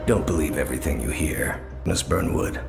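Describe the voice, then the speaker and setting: A man's voice: a bass voice, normal speed, gravelly, music in the background. A man playing a skeptical, middle-aged agency superior in a video game, grave, guarded and formal. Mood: guarded, doubtful. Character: stern, skeptical older male agency superior. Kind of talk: video game cutscene acting. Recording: background score under the voice.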